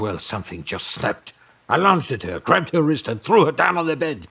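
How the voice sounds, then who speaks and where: A man's voice: fairly low pitch, a bit bright, husky, exaggerated voice. A man playing a wealthy, pompous French film producer, lovesick and vengeful, telling his story in florid, melodramatic audio drama. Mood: wounded rage. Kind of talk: dramatic first-person storytelling in audio fiction.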